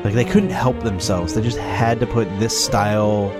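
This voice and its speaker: A man hosting a casual movie watch-along, his voice scratchy and raspy from a cough, making sarcastic jokes as he watches. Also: fairly low pitch, fairly even intonation, normal speed, over loud music. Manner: joking, sarcastic. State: has a cough. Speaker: host reviewing a movie.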